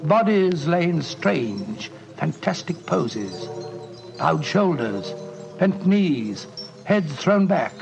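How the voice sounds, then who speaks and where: An actor playing a stuffy, kindly, blustering English gentleman doctor with a British accent, in old-time radio style. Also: fairly high pitch, sing-song, very slow, gravelly, over music, heavily acted. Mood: grave narrating. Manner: blustering, fussy, old-fashioned. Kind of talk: 1940s radio acting. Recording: dull, noisy old radio recording.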